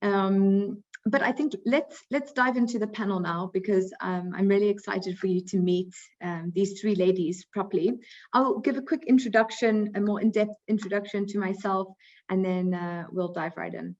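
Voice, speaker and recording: A woman's voice: mid-range voice, steady tone, normal speed, a little mellow. South African woman hosting a webinar. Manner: friendly, frequent um and uh fillers. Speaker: webinar host, recruiting coordinator. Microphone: video call. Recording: slightly dull video call audio.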